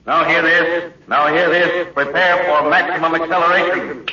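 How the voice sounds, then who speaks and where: A man's voice: fairly high pitch, fairly even intonation, normal speed, roomy sound, far from the mic, lightly acted. A man playing an efficient spaceship crewman calling out clipped reports in a vintage radio drama. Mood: urgent, efficient. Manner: clipped announcing. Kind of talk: 1950s radio drama acting. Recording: very noisy, muffled intercom-like radio recording.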